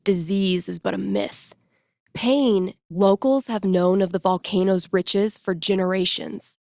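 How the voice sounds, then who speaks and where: Casting: snarky, laid-back young woman for a video game, record-store geek type, slangy and sardonic, mid-pitched, recorded bone dry. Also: steady tone, unhurried, creaky, darkish tone, dry recording. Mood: theatrical narrating. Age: young adult. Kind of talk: video game character voice lines.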